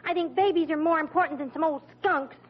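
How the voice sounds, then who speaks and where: A woman's voice: sits high, fast talker, lightly acted. An American woman playing an earnest, tender-hearted young sweetheart in a 1940s radio sitcom. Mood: earnest, anxious. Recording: muffled old radio recording.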